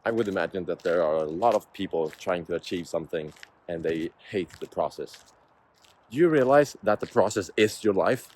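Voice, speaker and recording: Low-voiced guy in his 20s, casual reflective vlog chat. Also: expressive, moderate pace, darkish tone. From Sweden. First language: Swedish. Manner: reflective, musing, self-motivational. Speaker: vlogger. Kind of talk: casual vlog talk to camera.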